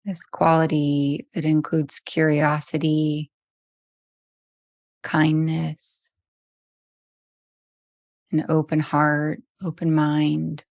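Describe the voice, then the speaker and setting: A woman guides a meditation in a low voice with soft creak at the edges. Her delivery is very even and gentle, leaving long pauses between phrases. The sound is close and dry. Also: dry recording. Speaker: meditation teacher.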